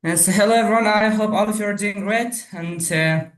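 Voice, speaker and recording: A man from Afghanistan, speaking slowly and haltingly with many fillers as a guest speaker on a video call. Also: high-pitched, fairly bright tone. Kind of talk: unscripted online talk. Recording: echoey, distant video call audio.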